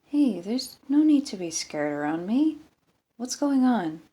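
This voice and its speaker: A woman's voice: fairly high pitch, sing-song, measured pace, dark tone, breathy edge, pitched above the performer's own voice, exaggerated voice. A woman voicing a groggy male marshal in an audiobook story, plainspoken, kind and reassuring. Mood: weary, reassuring.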